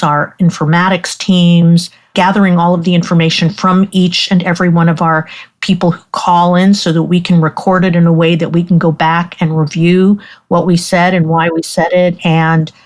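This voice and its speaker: Woman, physician, measured and explanatory, low-pitched. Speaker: doctor, guest. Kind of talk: interview conversation.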